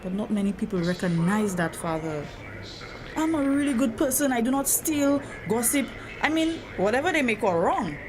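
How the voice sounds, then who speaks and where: A woman's voice: fairly high pitch, normal speed, crowd noise, close to an everyday voice. A woman acting as a hurt, troubled grown daughter in a drama, speaking earnestly and confidingly about feeling trapped. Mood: frustrated. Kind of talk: dramatic acted dialogue. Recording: noisy location sound with steady ambience.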